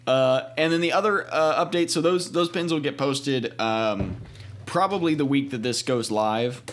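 A young man co-hosting a podcast, giving announcements in a plain, steady way with frequent hesitant fillers. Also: mid-range voice, moderate pace, slight background noise. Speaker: podcast co-host. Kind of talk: casual chat with announcements.

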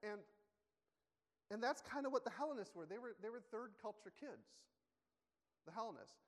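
A man's voice: high voice, expressive, moderate pace. A man preaching a sermon in a church, conversational and self-correcting, often asking the congregation questions. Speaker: pastor. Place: church sanctuary. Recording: long hall reverb.

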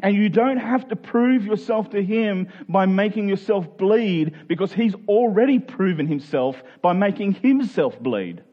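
A man's voice: high voice, lively intonation, unhurried, hardly any pauses, dull audio. A man preaching a conversational Bible sermon in an earnest way, posing rhetorical questions and voicing imagined objectors. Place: speaking live to a congregation.

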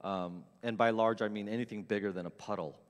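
A middle-aged man preaching a sermon, telling funny stories about himself with big swings in loudness. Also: lowish voice, brisk, big-room sound. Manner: animated, self-mocking storyteller with big swings in loudness. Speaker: pastor. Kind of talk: storytelling sermon. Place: church sanctuary with long reverb.

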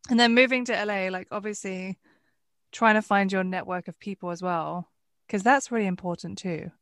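Woman interviewer, encouraging, quick to chime in, mid-pitched, dry close sound. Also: fairly bright tone, no echo. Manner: encouraging, with frequent short backchannels. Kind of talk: casual interview chat.

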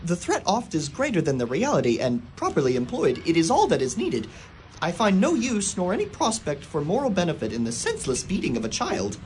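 A performer voicing a skittish young schoolmaster who stammers at every turn, his pitch lurching up and down. He is superstitious and easily startled, in a radio play, with a faint hum underneath. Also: huge pitch swings, brisk. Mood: uneasy. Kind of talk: radio drama acting.

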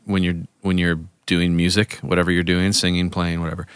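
A man's voice: low-pitched, little pitch movement, brisk, clean tone, no echo, studio quality. A man in casual podcast chat, rambling and laughing as he trails off mid-sentence. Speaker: podcast co-host, musician.

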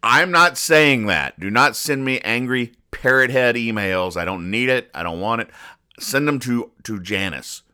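A man hosting a podcast in a casual, rambling commentary style, explaining jokes with deadpan mock-earnestness. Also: deep voice, clear, resonant tone. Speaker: podcast host.

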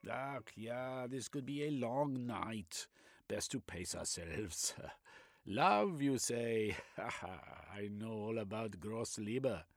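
A man voicing a flamboyant, pushy middle-aged German doctor with a German accent, excitable and lecturing. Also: on the low side, slow, dry recording, studio quality, voice pushed up, exaggerated voice.

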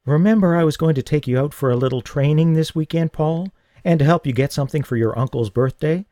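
A man voicing a gentle, flustered young woman. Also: sits low, quick pace, no echo, studio quality, pitched above the performer's own voice, natural voice. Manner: gentle, a little hesitant. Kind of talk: audiobook reading.

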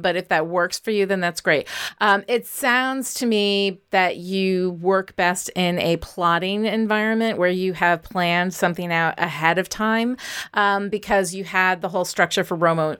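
A woman's voice: lowish voice, a bit bright, clear, resonant tone. A woman speaking as a guest writing teacher, explaining things in an encouraging, conversational interview style.

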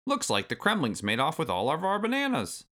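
Storybook role: man performs rough, scolding old granddad ape, bright and forceful, lifted pitch. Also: wildly swooping pitch, a little fast, exaggerated voice. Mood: indignant. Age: older. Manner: grumbling, exclamatory old-timer phrasing.

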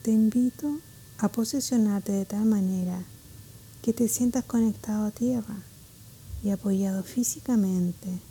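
Female meditation narrator, soft and very relaxed, gentle measured delivery with breathy phrase ends, mid-range pitch. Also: poor audio. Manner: calm, gentle, measured with pauses. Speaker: meditation guide.

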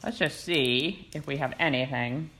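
A woman co-hosting a podcast in casual chat, playful and animated, often reading aloud. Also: extremely low pitch, measured pace, slight background noise. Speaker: podcast co-host.

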